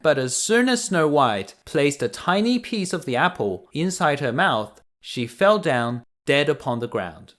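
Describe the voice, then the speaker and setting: A man reading a fairy tale aloud to children as a bedtime storyteller, deliberate and expressive. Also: on the high side.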